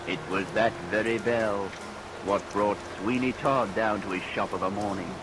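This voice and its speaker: A man's voice: lowish voice, lightly acted. Man playing a Cockney tour guide, noisy old radio. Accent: London Cockney. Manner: theatrical showman's patter with emphatic tags. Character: salty Cockney tour guide relishing grisly tales. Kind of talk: vintage radio drama acting. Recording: vintage radio recording under rain noise.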